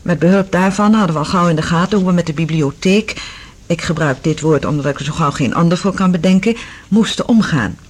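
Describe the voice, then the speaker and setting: Actress as a husky, curious woman explorer. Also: fairly low pitch, a little fast, lightly acted. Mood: reading, attentive. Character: curious female Mars explorer, affectionate partner of a scientist. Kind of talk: Dutch radio drama acting.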